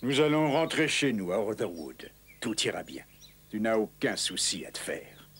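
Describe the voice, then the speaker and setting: A man's voice: fairly low pitch, animated, normal speed, a bit bright. An actor playing a proud old Saxon lord, stern but fatherly, with a grand, emphatic delivery in a French cartoon dub. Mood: reassuring.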